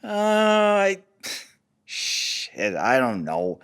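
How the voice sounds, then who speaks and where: A man's voice: medium pitch, wildly swooping pitch, very slow, fairly bright tone, clear, resonant tone, full character voice. An actor playing a defensive, abusive deadbeat father under interrogation in an audio drama, evasive, rambling and profane. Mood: rambling, frustrated. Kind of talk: audio drama table read.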